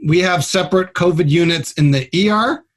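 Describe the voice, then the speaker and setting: A man's voice: sits high, normal speed. A man speaking as a guest doctor in a radio interview, explaining things in a plain-spoken, blunt and informal way. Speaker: trauma surgeon, guest doctor.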